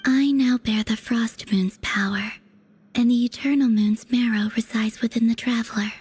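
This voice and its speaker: An actress voicing a mysterious, ethereal young woman in a video game, speaking softly and calmly in a breathy whisper. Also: on the high side. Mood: hushed. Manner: soft, calm, unhurried.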